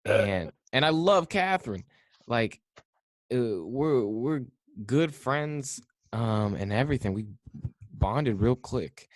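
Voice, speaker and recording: A young man hosting a podcast, telling stories in a quick, offhand casual chat. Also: mid-range voice, animated, no echo. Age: young adult. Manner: quick storytelling, offhand, mimics others in quotes. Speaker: podcast host.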